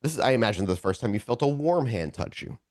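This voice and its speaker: A man's voice: medium pitch, expressive, quick pace, darkish tone, dry recording, clean studio sound. A man narrating a tabletop role-playing game as game master, improvising scene descriptions and often correcting himself as he searches for words.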